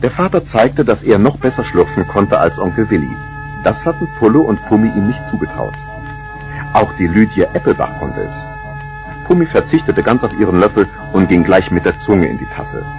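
Low-voiced male storyteller, lively melody. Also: vocal fry, poor audio. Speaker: narrator. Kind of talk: scripted children's story narration.

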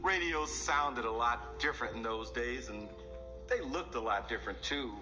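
A man's voice: medium pitch, animated, moderate pace, natural voice. A middle-aged man playing a friendly grandfather who reminisces expressively to children on a TV show. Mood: fond, amused. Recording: children's TV show refilmed from a screen. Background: music.

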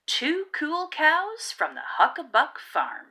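Female children's storybook narrator, unhurried and singsong, with lively swooping pitch and theatrical emphasis. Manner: rhythmic, theatrical, drawn-out emphasis. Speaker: read-aloud narrator of children's picture books. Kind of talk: expressive storybook reading.

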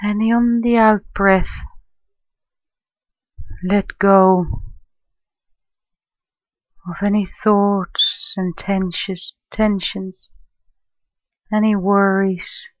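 A woman leading a guided meditation in a gentle, soothing, unhurried manner, leaving long pauses between phrases. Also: medium pitch, fairly even intonation, no echo, muffled recording. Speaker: meditation guide, life coach and therapist.

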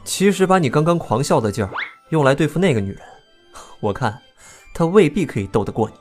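Man acting a young prince, animated pitch, playful, teasing, breezy, audio drama. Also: a little fast, faint music behind. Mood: amused, probing.

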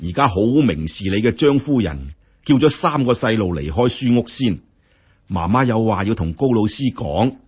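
Male storyteller, raspy voice, lively pitch, colloquial wry delivery, muffled recording. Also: moderate pace. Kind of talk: dramatic audiobook-style narration. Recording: dull, muffled lo-fi audio.

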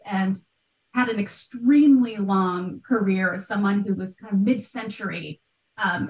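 A talkative woman, likely in midlife, explaining things emphatically in a conversational interview over a video call. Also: mid-range voice. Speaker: podcast host and art historian, interview guest. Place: small bright room at home. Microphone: computer mic on a video call. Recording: roomy echo, distant mic, video call.

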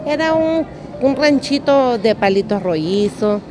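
A woman's voice: on the high side, animated, a little slow. Middle-aged Nicaraguan woman, music behind. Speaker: restaurant owner being interviewed. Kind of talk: casual interview answers. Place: open-air roofed roadside restaurant. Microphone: handheld microphone on table in front.

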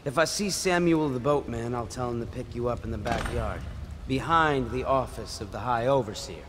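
An actor playing a composed male conspirator in a video game, speaking in a measured, articulate, dryly witty way. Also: mid-range voice, noisy background, close to an everyday voice. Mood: grave, purposeful. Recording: music behind the voice.

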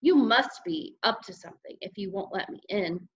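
A woman voicing a bossy, tattling schoolgirl who loudly taunts her little brother in a children's audiobook. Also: mid-range voice, expressive, moderate pace, muffled recording, a little hiss or hum, lightly acted. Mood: bossy, taunting. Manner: loud, demanding, teasing.

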